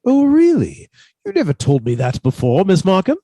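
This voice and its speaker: An actor playing a mild, henpecked older botany professor with a halting, polite manner in a radio suspense drama. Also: male voice, sits high, wildly swooping pitch, dark tone, dry recording, heavily acted. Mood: shy, courteous.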